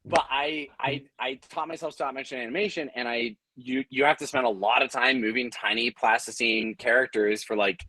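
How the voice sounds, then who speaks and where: Bright, dry-sounding man telling anecdotes. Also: mid-range voice, fairly even intonation, moderate pace, hardly any pauses, dry recording, rough recording. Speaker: panelist, audio drama producer and company founder. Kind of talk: casual, anecdotal panel talk.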